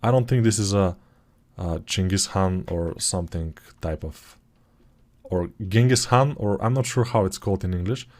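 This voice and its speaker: A man's voice: low-pitched, normal speed, darkish tone. A man with a Bulgarian accent, speaking English as a second language, walking through a 3D modeling tutorial in a chatty, digressive way that often corrects itself. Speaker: 3D modeling course instructor. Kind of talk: software tutorial walkthrough.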